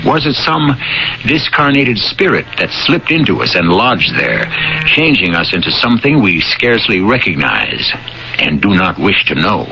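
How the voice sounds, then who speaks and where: Low, theatrical male radio host. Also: sing-song. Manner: deliberate, rhetorical, ominous. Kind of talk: theatrical scripted introduction, old-time radio. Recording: dull radio recording with music.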